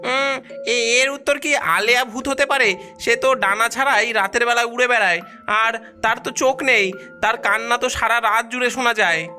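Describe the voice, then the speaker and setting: Bright, puzzled cartoon villager voiced by a woman. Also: a little high, normal speed, faint music behind. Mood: puzzled, pondering. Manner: hesitant, repeating words.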